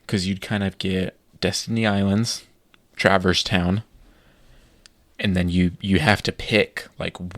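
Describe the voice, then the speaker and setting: A man co-hosting a podcast in casual chat, giving opinions with halting restarts. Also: low-pitched, fairly bright tone, no echo. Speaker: podcast co-host.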